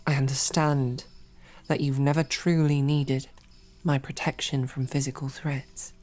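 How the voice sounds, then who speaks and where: A woman voicing a devoted, protective female royal knight in a whispered audio roleplay, with soft, earnest questioning. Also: extremely low pitch, little pitch movement, a little slow, faint music behind. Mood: earnest, pleading.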